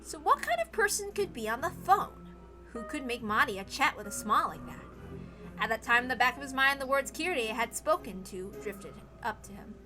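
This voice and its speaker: A woman's voice: high-pitched, expressive, moderate pace, bright, forceful tone, faint music behind. A woman reading a visual novel aloud as a dramatic narrator. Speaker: narrator, story reader.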